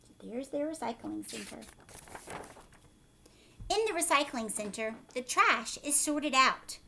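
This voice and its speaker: A woman with a fairly high voice reads aloud as a teacher would to young children. She steps out of the text to explain things simply and checks in with her listeners in an instructive way. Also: animated, measured pace, gravelly, pushed and bright, slight background noise. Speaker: teacher reading a picture book aloud to children.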